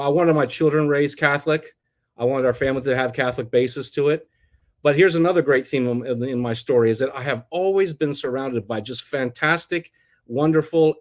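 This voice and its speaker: A middle-aged man from the United States, telling his life story as an interview guest in a rambling way, with many restarts and fillers. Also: mid-range voice. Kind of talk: casual personal storytelling.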